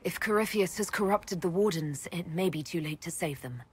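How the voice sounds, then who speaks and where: A woman's voice: lowish voice, moderate pace, a little mellow. A woman playing a composed, serious leader in a fantasy game, formal and direct as she speaks. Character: composed female Inquisitor, serious burdened young leader. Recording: clear ambient noise behind dialogue.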